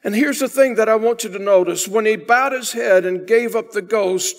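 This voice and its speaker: An older pastor with a notably high-pitched voice delivers a sermon in a church sanctuary. He preaches emphatically from the pulpit, building in force on his key points. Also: moderate pace. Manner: emphatic preaching, swelling louder on key phrases.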